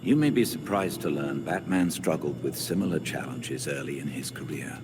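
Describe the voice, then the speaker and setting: A man's voice: a bass voice, expressive, background noise. A man playing a formal, dryly witty older butler and mentor in a video game cutscene. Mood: gently advising. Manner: formal, measured, dry. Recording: music behind.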